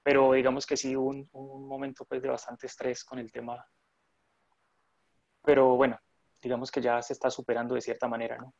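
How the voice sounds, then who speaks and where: A man's voice: medium pitch, monotone, a little fast, gravelly, a little mellow, no echo, lo-fi sound. A man from Colombia, a nurse in his thirties, chatting in a remote interview with many fillers and restarts.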